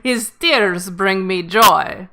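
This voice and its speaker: A woman playing a huge, mean, gleefully cruel female space navigator, blunt and loud, at a comedy table read. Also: medium pitch, exaggerated voice. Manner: loud, slow, forceful, blunt delivery.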